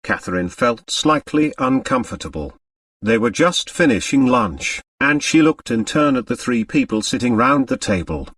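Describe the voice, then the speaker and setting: A man's voice: medium pitch, big pitch swings, normal speed, a bit bright, no echo, distant mic. A man reading scripted literary narration as an audiobook narrator.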